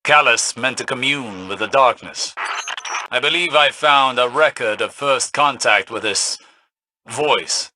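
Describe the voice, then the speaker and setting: A man's voice: mid-range voice, a little slow, noisy background, natural voice. A man acting a studious, solemn companion spirit in a video game, speaking precisely and broodingly like an erudite investigator. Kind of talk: dramatic video game dialogue.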